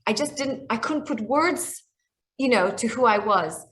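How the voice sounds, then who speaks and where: A woman's voice: on the high side, animated, unhurried, a bit bright. Reflective woman in her forties. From Israel. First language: Hebrew. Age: 40s. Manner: reflective, many restarts and fillers. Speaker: guest speaker. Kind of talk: video call conversation. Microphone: video call.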